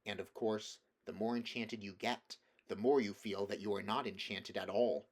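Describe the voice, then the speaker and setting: A man reading a storybook chapter aloud in a measured, calm narration. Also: fairly low pitch. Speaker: audiobook reader.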